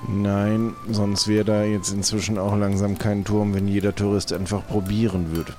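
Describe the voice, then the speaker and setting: A man's voice: lowish voice, flat delivery, moderate pace, mellow, faint music behind, close to an everyday voice. A man playing an officious, matter-of-fact town gatekeeper in an improvised audio play, a little weary but lenient. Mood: dry, lenient.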